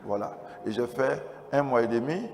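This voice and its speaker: A man with an Ivorian French accent giving a testimony as a pastor at a church convention, his throat strained by a cold, moving between quiet asides and loud exclamations. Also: medium pitch, expressive, normal speed. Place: large church convention hall. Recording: reverberant hall PA, noisy background.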